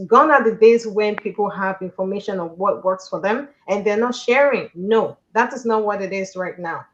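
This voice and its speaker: Upbeat persuasive woman, lo-fi sound. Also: medium pitch. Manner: upbeat persuasive, repeats phrases for emphasis. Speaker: business coach on a podcast panel. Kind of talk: motivational pitch.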